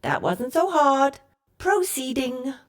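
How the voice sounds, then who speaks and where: An actress playing a cheeky, stubborn submarine computer with a British accent, chatty and always talking back in an audio comedy. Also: female voice, sits high, very animated, slow pace, exaggerated voice. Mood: wry. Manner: sassy, chatty, talks back. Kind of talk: comedic audio drama acting. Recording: music bed behind the voice.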